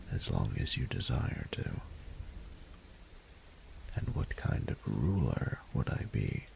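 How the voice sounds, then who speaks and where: A man playing a regal, doting dragon ruler in a romantic audio roleplay, speaking formally in a gravelly, breathy murmur. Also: very deep voice, exaggerated voice, rough recording. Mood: tender, earnest. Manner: formal, unhurried, eloquent, courtly. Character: regal male dragon ruler, doting, patient, courtly mentor.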